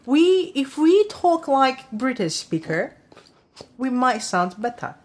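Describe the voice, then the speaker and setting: Giggly woman co-host, halting English. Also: sits high, sing-song. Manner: halting non-native English, giggly, many fillers. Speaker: podcast co-host. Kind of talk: casual chat.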